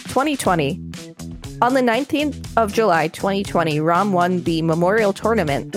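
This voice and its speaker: Female co-host, article narration plus light joking banter, music clearly playing behind her. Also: mid-range voice. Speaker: podcast co-host reading an article aloud. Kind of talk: read-aloud narration with casual banter.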